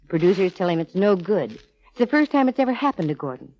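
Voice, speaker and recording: An actress playing a confident, wisecracking female private detective in a brisk, wry 1940s radio style. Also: on the low side, sing-song, a little mellow. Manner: brisk, wry, self-assured. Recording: dull, hissy old radio recording.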